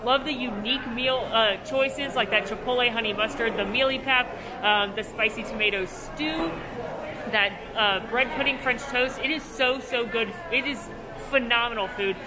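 A woman's voice: a little high, normal speed. A young woman with an upbeat, gushing, chatty manner, giving a casual food review as a vlogger. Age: young adult. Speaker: food and theme park vlogger. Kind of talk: enthusiastic casual vlog review and food tour. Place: large buffet restaurant, indoors. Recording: busy restaurant crowd noise. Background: crowd chatter.

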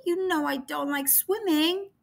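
A woman's voice: high-pitched, a little slow, vocal fry. A woman voicing a timid, anxious young male penguin captain who sounds worried and reluctant, for a children's storybook. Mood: fearful.

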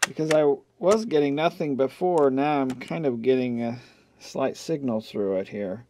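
A man's voice: mid-range voice, moderate pace. A man giving offhand, casual repair commentary, half talking to himself as he works with his hands. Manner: offhand, muttering to himself while working. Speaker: host of an electronics repair channel. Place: indoor workbench. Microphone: camcorder mic near the workbench. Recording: steady background noise, clicks of handling.

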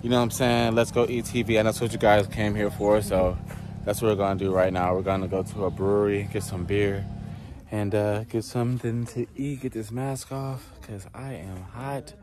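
A man's voice: lowish voice, fairly even intonation, moderate pace, noisy background. An American man in his thirties chatting casually to the camera as a vlog host, recorded on a phone held at arm's length.

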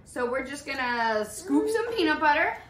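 A woman hosts a kids' cooking class in a high, very lively voice. She talks playfully to a toddler in a sing-song way, recorded in an echoey room. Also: normal speed. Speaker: preschool teacher hosting a children's cooking class. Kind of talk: child-directed cooking demonstration. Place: small indoor playroom kitchen set. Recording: echoey room with some background noise.

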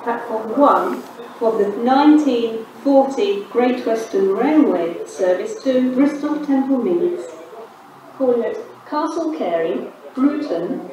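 British female, automated rail announcer, formal segmented phrasing, highish voice, heavily echoing distant PA. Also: a little mellow. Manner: formal, segmented, pieced-together delivery. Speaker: automated railway station announcer. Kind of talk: scripted public-address announcement. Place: railway station announcement system. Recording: echoey station PA, distant, noisy.

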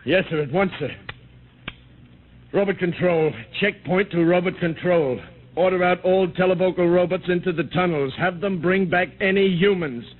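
Actor as a brisk male checkpoint sentry. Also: high-pitched, lightly acted. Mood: obedient, urgent. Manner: official, brisk. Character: dutiful, matter-of-fact checkpoint sentry. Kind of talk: 1950s radio drama acting. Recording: tinny, hissy old radio recording.

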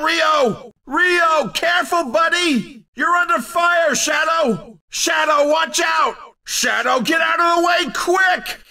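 An actor playing an excitable, cheeky male racing game announcer who gives urgent, punchy warnings as race commentary. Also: extremely high pitch, far from the mic, full character voice.

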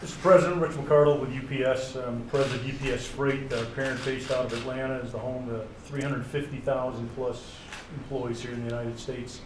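A company executive introducing himself formally, earnest and businesslike. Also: male voice, mid-range voice, fairly even intonation, brisk, hardly any pauses, noisy background. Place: large formal meeting room. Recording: echoey room, voice from a distance.

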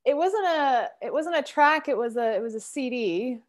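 A woman in her thirties from Canada, a singer and sax player, chatting as a guest on a video call from home in an expressive, storytelling way. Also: sits high, normal speed. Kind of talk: casual interview chat. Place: home room, indoors.

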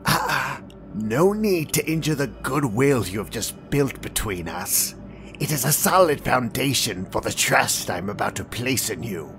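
An actor playing a calm, courteous male vampire with a thin, dry voice, speaking slowly and with polite menace in a fan audio drama. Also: medium pitch, over music. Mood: coaxing. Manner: slow, measured and politely menacing.

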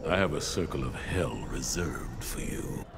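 A man's voice: extremely low pitch, animated, unhurried, gravelly, background noise, heavily acted. A man playing a grim, menacing undead avenger from hell in a fighting game. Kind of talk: video game fight banter.

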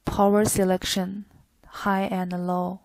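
Slow, monotone woman narrating a product guide. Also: mid-range voice, dry recording. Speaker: product demonstrator. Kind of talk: scripted product tutorial narration.

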